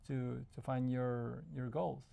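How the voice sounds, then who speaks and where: A man from Argentina speaking as the guest in a casual interview. His voice sits in an ordinary middle pitch with a gravelly, creaky edge. He talks thoughtfully, pausing and restarting as he searches for the right words. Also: flat delivery, mellow. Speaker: psychologist guest.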